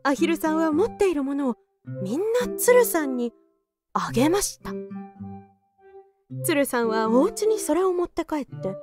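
A woman narrating a children's storybook tale in an animated read-aloud manner. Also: high voice, normal speed, long pauses. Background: children's background music.

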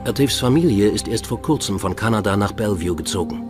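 Man, low pitch, scripted true crime narration, documentary podcast, prominent background music. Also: lively intonation, fast. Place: voice-over with music behind.

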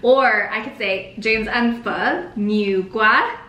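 A young woman from the United States co-hosting a food vlog, chatting casually at the dinner table, laughing often and saying short phrases slowly for her partner to repeat. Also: fairly high pitch, sharp tone. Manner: laughs often, teaches slow careful phrases. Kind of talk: casual chat. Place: bright dining room indoors, at a table. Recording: echoey room, faint background hiss.

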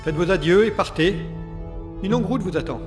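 Man playing a solemn older scientist, higher-pitched. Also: fairly quick, over music, close to an everyday voice. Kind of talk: audio drama acting.

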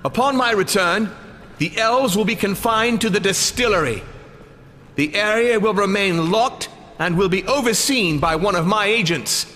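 An actor playing a haughty, contemptuous governor in a video game, with pompous, commanding oratory. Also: male voice, high-pitched, normal speed, bright, forceful tone. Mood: imperious. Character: haughty, contemptuous male governor with supremacist zeal. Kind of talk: video game character acting. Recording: long hall-like reverb.

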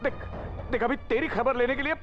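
High-pitched theatrical scheming politician acted by a young man. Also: steady tone, brisk. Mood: frightened, pleading. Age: young adult. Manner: theatrical, wheedling, repeats phrases. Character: scheming, corrupt politician who turns cowardly and pleading. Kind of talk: Bollywood gangster film spoof acting. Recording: muffled audio with background music.

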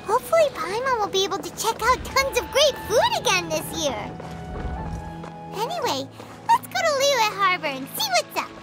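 A woman voicing a small, childlike, excitable fairy companion with a squeaky, perky voice in an anime video game. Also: normal speed, over loud music. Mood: cheerful, curious. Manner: refers to self in third person, perky.

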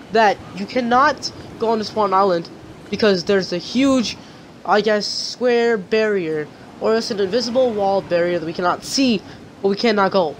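Raspy, sore-throated, chatty gameplay tutorial host, rambling explanations, mid pitch, faint background hiss. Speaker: gaming channel host.

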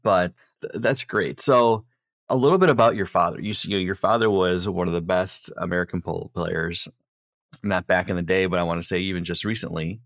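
A man hosting a relaxed podcast interview, talking in a very low voice. He chats loosely, rambles and often restarts his sentences. The sound is dry and close. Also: brisk, dry recording. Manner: chatty, rambling, with repeated restarts. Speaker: podcast host. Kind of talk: casual interview.

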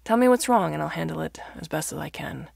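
A woman's voice: low voice, animated, fast, gravelly, a little mellow, no echo, studio quality, exaggerated voice. A woman playing a courteous, slightly anxious young male country vet in a storybook reading, polite and hesitant.

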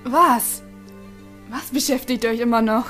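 A woman's voice: fairly high pitch, a little slow, a bit bright, faint music behind. A woman playing an exasperated, sensible teenage girl in a German fan-dubbed anime audio drama. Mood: alarmed. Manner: exasperated, nagging.

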